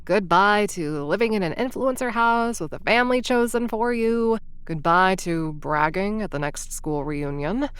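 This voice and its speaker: A woman's voice: medium pitch, moderate pace, few pauses, clean tone, no echo, lightly acted. A woman playing a scatterbrained young would-be influencer and time traveler, narrating to the listener in a bubbly, impulsive, rambling way. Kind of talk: audio drama narration to the listener.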